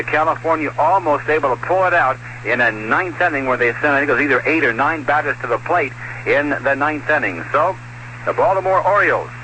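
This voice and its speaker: A man's voice: a little high, pushed and bright, low-quality recording. A man giving an off-the-cuff, conversational recap of a baseball game as a radio broadcaster. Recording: hissy 1970s radio broadcast.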